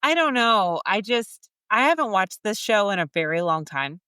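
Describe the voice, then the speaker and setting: A woman in her thirties co-hosting a podcast, talking in an animated, indignant way and laughing often. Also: medium pitch, normal speed, clear, resonant tone, no echo, clean studio sound. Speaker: podcast co-host. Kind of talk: casual chat.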